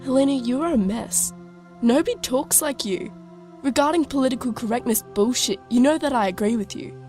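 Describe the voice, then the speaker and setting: A woman's voice: sits high, animated, fairly quick, mellow, faint music behind. A young woman playing a worldly, teasing, sarcastic teenage girl in an audio drama. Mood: exasperated, teasing. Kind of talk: scripted audio drama dialogue.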